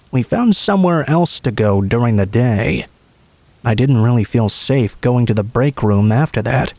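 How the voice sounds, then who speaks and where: Guy reading scary stories like a narrator, measured, medium pitch, really dry recording. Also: warm tone, no room sound. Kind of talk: scripted first-person story narration.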